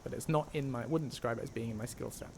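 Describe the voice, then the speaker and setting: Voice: medium pitch, racing, darkish tone, slight background noise. A person live streaming, chatting casually and correcting themselves as they explain things, with game music behind. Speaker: live streamer, mod creator. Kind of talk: casual livestream commentary.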